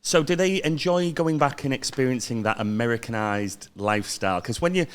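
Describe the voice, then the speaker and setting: A man's voice: mid-range voice, a little fast, clear, resonant tone. A man hosting a podcast, interviewing in a casual, chatty way and often rephrasing his questions as he goes. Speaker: podcast host.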